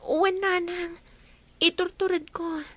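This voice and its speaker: A young student, voiced by an actress, begs her relatives for help in a radio drama. Her voice is very high and thin. The sound is dry and close to the mic. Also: little pitch movement, measured pace, no echo. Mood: pleading. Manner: pleading, tearful. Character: young woman student, pleading and hurt. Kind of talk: Ilocano radio drama acting.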